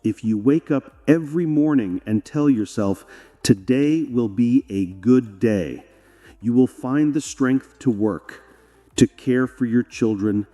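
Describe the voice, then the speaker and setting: Man voicing a gentle, slow-talking wise old woman. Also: medium pitch, big-room sound. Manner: gentle, unhurried, reassuring. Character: wise, calm, kindly old village woman.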